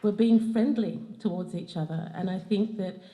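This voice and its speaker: An Australian woman giving a formal acknowledgement of country, speaking in an earnest, measured way. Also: mid-range voice, dark tone. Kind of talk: formal ceremonial address. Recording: echoey hall.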